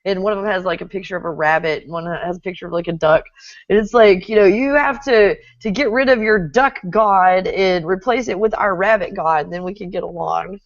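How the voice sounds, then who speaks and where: A woman's voice: medium pitch, brisk, no room sound, highs cut off. A middle-aged woman from Texas with a Texan accent, hosting a casual interview, chatty and often laughing. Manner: chatty, laughs often, reads viewer comments aloud. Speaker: host.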